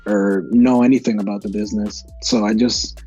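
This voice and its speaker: Young male actor, 20s, relaxed interview talk, husky tone, dull recording. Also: lowish voice, normal speed, faint music behind. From Massachusetts. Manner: relaxed, many fillers and restarts. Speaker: actor guest. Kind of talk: casual interview answers.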